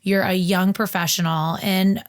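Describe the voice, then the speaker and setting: Woman podcast host, bubbly and gushy, mid pitch, casual chat with her guest. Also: level tone, measured pace. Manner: bubbly and gushing, eager questions.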